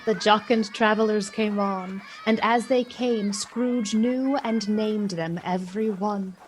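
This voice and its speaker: A woman playing the storyteller of a Victorian ghost tale, with measured, literary, expressive delivery in a radio play. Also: medium pitch, slight background noise, lightly acted, rough recording. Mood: bright, delighted. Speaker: narrator.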